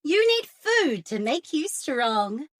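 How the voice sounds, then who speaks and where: A caring young girl from a storybook, as a woman acts her out. She speaks high and bright with lively swings in pitch, always earnest. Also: takes it slowly, full character voice. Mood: concerned. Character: Katy, caring young girl who dotes on her cat. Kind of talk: picture-book read-aloud.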